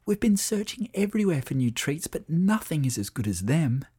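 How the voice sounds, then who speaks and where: A man voicing a restless young boy in a children's storybook, talking in a hushed whisper. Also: fairly high pitch, big pitch swings, moderate pace, breathy edge, voice pushed up. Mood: hushed, longing.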